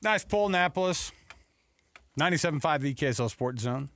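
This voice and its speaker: A man's voice: on the high side, lively intonation, a little fast, fairly bright tone, faint music behind. A man with an American accent talking casually and bantering as co-host of a sports radio show. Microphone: close studio radio microphone.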